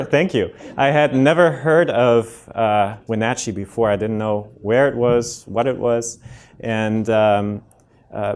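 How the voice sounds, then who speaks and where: A man's voice: on the low side, a little mellow. German-accented man giving a medical talk. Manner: friendly, plain explanations with many ums and restarts. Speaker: cardiologist giving a public health talk. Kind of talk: informal lecture to a community audience. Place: lecture room before a seated audience. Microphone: handheld and podium microphone.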